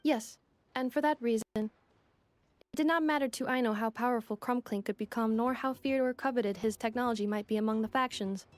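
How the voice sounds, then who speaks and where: A woman voicing a polite, composed female robot assistant in a video game, speaking in a measured, formal, explanatory way. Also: fairly high pitch, no room sound, lightly acted. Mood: earnest. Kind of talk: anime-style video game voice acting. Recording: faint music behind the voice.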